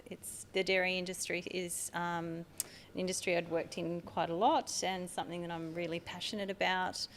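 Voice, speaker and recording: Australian woman, middle-aged, earnest podcast guest, lower register, faint hiss behind. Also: flat delivery, moderate pace. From Tasmania, Australia. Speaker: marketing manager guest. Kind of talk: podcast interview.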